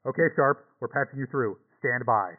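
Tinny and narrow, like a two-way radio, a male headquarters operator reports in, acted by a man. The delivery is clipped and businesslike, at a middling pitch. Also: moderate pace. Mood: brisk. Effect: two-way radio filter.

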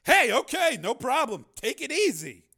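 A man's voice: very high-pitched, huge pitch swings, moderate pace, vocal fry, sharp tone, breathy edge, pitched above the performer's own voice, heavily acted. A man doing a pushy, brash bar patron. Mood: rattled. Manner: wheedling, brash, chummy.

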